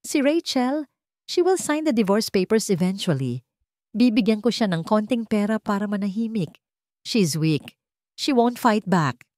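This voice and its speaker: A woman's voice: medium pitch, expressive, measured pace, a little mellow, dry recording, clean studio sound, voice pushed up, natural voice. A woman voicing a wealthy, confident cheating husband who talks smugly, as if giving a speech. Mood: smug, scheming. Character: smug, scheming cheating husband, a wealthy hotel heir.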